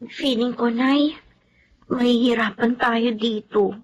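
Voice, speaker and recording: An actress playing a caring, respectful young student daughter in a Filipino radio drama, polite and earnest. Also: female voice, a little high, steady tone, takes it slowly, dull audio. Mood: uneasy.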